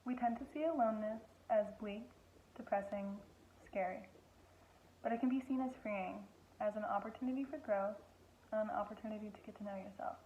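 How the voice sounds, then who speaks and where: Mellow woman reading a reflection, lo-fi. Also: medium pitch, a little fast. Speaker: worship reader. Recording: dull lo-fi with faint noise.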